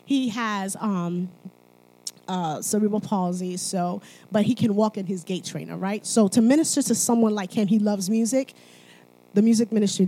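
A woman's voice: mid-range voice, dark tone, rough recording. A woman from Haiti speaking at church, mixing sermon and personal testimony in a conversational, emphatic manner, often checking in with listeners.

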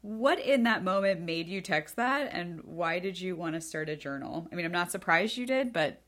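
Thirties woman co-host, stuffy from a cold, low-pitched, easygoing delivery. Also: animated, moderate pace, a bit bright. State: congested from a cold. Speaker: podcast co-host and journal editor. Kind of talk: casual podcast chat.

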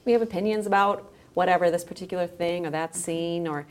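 A woman's voice: on the low side, fairly even intonation, a little fast, a little mellow. A woman speaking as a podcast guest in a thoughtful, conversational interview style, feeling her way through her phrases.

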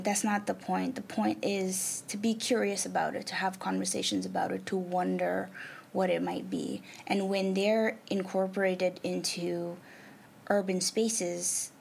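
A young woman from Nepal, a native Nepali speaker, talks through interview answers in a gravelly voice with some vocal fry. She pauses often and fills the gaps with soft ums as she thinks. Faint noise sits under the recording. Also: mid-range voice. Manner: thoughtful, many ums and pauses. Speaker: artist and arts program manager.

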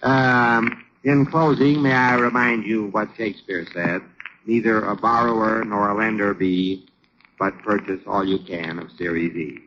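A deep, forceful American businessman, dry and sarcastic with a halting delivery. He is the long-suffering husband of a 1940s sitcom, heard on a crackly old recording. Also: steady tone, dull audio. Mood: halting, dictating. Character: exasperated, sardonic but patient businessman husband. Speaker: comedy actor. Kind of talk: 1940s radio sitcom acting.

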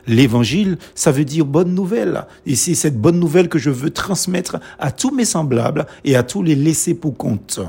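A man whose first language is a Creole, preaching a sermon in short, measured, emphatic phrases. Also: a little high, lively intonation, vocal fry, dark tone, husky.

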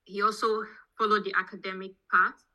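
Nigerian woman with a very bright, forceful voice. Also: medium pitch, little pitch movement, a little fast. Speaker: podcast guest, petroleum engineering professor. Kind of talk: conversational interview answers, telling own background.

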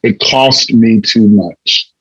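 A man with a raspy voice, a guest telling his story in a candid, loose conversational way with wry asides. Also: on the low side, takes it slowly, fairly bright tone, dry recording, dull audio. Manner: loose, filler-heavy, wry laughs.

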